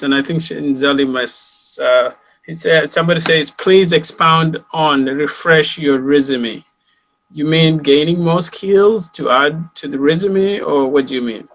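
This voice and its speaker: A man's voice: fairly high pitch, unhurried, fairly bright tone, low-quality recording. A man moderating a community talk panel, reading out audience questions with a hesitant manner. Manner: hesitant, many fillers.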